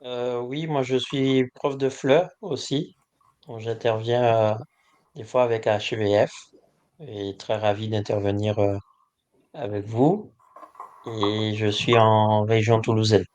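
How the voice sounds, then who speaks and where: A man's voice: fairly low pitch, steady tone, slow pace, a little mellow. A man who teaches French, introducing himself on a video call in an easygoing, hesitant way.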